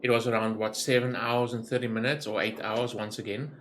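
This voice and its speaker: Man, bright forward voice, medium pitch, casual gaming commentary, rambling and informal. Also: flat delivery, brisk, a little hiss or hum. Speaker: gaming YouTuber. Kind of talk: casual game reaction commentary.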